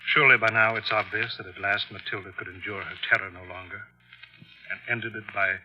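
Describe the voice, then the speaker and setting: An actor playing a suave professor and explorer, a smoothly sinister husband, in a theatrical, courtly, unctuous old-time radio manner. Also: male voice, on the low side, fairly even intonation, fairly quick, muffled recording, faint music behind. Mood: grave, dramatic. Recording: old radio drama, band-limited.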